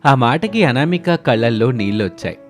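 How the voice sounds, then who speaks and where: Male storyteller over soft music. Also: medium pitch, expressive, moderate pace. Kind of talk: scripted cartoon story narration. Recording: music under the voice.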